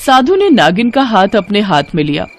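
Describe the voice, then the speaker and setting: A woman's voice: mid-range voice, big pitch swings, normal speed, a little hiss or hum. A woman storyteller giving a dramatic scripted narration of a story.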